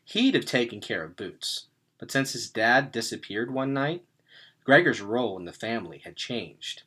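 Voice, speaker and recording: A man reads a storybook aloud with lively, swooping pitch and a bright, forceful voice. He leans on key words for dramatic effect, in an expressive narrating style. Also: normal speed, husky. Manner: animated, dramatic emphasis. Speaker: read-aloud reader.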